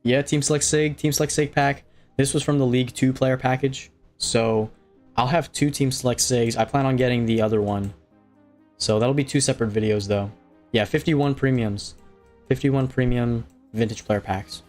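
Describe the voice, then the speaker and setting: A man with a slight Hawaiian accent, talking loosely to viewers during a live stream. His voice sits at an ordinary middle pitch and he wanders through his thoughts with lots of ums and restarts. Faint music plays underneath. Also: normal speed, a little mellow. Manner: rambling, many fillers and restarts, reading chat aloud. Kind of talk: casual live stream chat.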